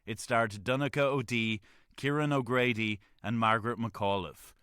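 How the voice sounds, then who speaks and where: A man's voice: medium pitch, fairly even intonation, a little slow, fairly bright tone, smooth, no echo, studio quality. A man reading scripted credits as an announcer.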